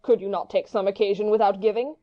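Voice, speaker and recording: A woman's voice: mid-range voice, steady tone, fast talker, lo-fi sound, close to an everyday voice. A woman playing a hot-headed, witty young swordsman in a Shakespeare audiobook, taunting and theatrical in long-winded speeches. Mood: mocking, defiant. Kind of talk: Shakespeare audiobook dramatic reading.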